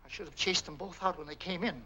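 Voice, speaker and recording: A man's voice: high-pitched, fairly even intonation, quick pace, bright, forceful tone, rough recording. An actor playing a cranky, evasive male night clerk with folksy, grumbling American speech, in an old 1940s film. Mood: defensive, whining. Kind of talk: 1940s film noir acting. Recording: old film soundtrack with faint music.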